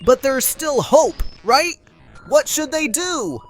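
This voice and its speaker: A woman's voice: sits high, big pitch swings, takes it slowly, soft background music, exaggerated voice. A woman playing a playful, chatty puppet who hosts a show in a theatrical way. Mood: teasing. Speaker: host. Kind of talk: scripted puppet show presenting.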